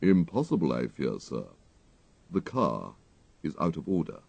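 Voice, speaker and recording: A man voicing an unflappable English gentleman's manservant, deferential and deadpan, with formal, measured phrasing. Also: very deep voice, gravelly, husky, no room sound, exaggerated voice. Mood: impassive.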